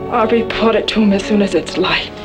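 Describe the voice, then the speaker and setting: An actress playing a stern, grieving frontier woman in an old-time Western radio drama, speaking in a measured, reproachful way. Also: medium pitch, over music, close to an everyday voice. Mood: grim, accusing.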